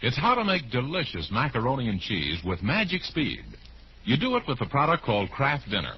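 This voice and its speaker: A male announcer delivers a 1940s radio ad in a mid-range voice with very lively intonation. The vintage recording is muffled and faintly hissy. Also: mid-range voice, a little fast.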